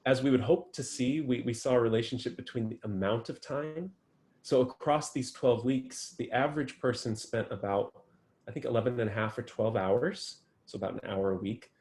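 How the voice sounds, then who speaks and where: A man's voice: mid-range voice, normal speed, darkish tone. A man answering interview questions about his research, thoughtful and full of ums and restarts. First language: English. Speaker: guest researcher.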